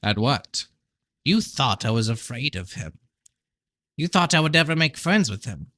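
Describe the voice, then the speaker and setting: A man's voice: mid-range voice, very animated, a little slow, pushed and bright. Man voicing a crafty old sorcerer, insistent and blunt. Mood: sly, accusing.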